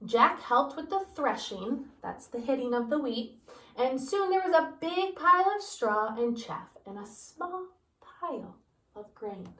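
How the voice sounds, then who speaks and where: A woman who teaches kindergarten reads a picture book aloud, pausing to explain things gently to young children. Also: sits high, very animated, distant mic. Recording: echoey room, voice off-mic.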